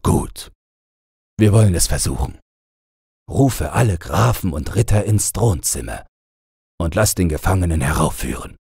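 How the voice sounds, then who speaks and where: A man voicing a stern, grumpy old king in a fairy-tale audiobook, gruff and commanding. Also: low voice, animated, unhurried, pauses often, a little mellow, no echo, studio quality, full character voice. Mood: resolved.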